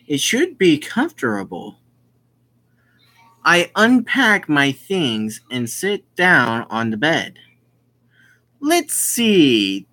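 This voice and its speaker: Halting, very slow-paced gamer reading aloud. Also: medium pitch, fairly bright tone. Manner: halting, explains who is speaking as they read. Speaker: gaming video host. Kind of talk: reading a visual novel aloud with casual commentary.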